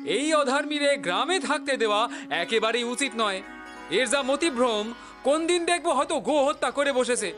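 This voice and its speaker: Haughty village elder, indignant, very high, swooping, preachy, audio drama. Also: male voice, sharp tone, exaggerated voice. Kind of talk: dramatised audio story acting.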